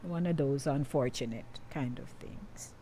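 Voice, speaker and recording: A middle-aged woman hosting a call-in radio show, chatty and quick to laugh. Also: rumbling low, warm tone. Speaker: radio host.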